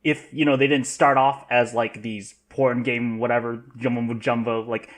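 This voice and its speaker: Halting, rambling man with mid-pitched voice. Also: flat delivery. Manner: halting, rambling, repeats himself. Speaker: podcast panelist. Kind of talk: casual chat.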